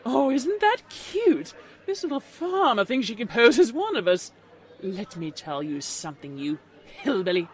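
Woman acting a haughty, husky-voiced snobbish unicorn mare. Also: fairly high pitch, big pitch swings, a little slow, some chatter behind, full character voice. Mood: mocking. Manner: sneering, contemptuous, exclaiming. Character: snobbish upper-class female unicorn, haughty and cruel.

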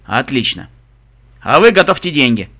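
A man voicing a grumpy, greedy zebra smuggler captain in an audiobook, with a hoarse, gruff voice and barking sailor talk. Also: mid-range voice, very animated, normal speed, sharp tone, voice pushed down. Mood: brisk, bossy. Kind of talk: audiobook character voice.

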